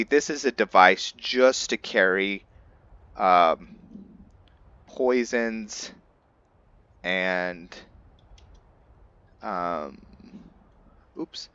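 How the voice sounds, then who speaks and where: A man hosting a gaming roleplay session in a lowish, crisp-edged voice. He talks slowly and casually, feeling his way haltingly through his thoughts as he plays. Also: pushed and bright, no echo, highs cut off. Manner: halting, thinking aloud as he goes. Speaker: host of a gaming roleplay series. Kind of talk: casual let's play commentary.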